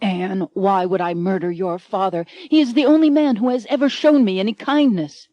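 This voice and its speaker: A woman voicing a headstrong young heroine, shrill and melodramatic. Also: moderate pace, dry recording, muffled recording, natural voice. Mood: indignant.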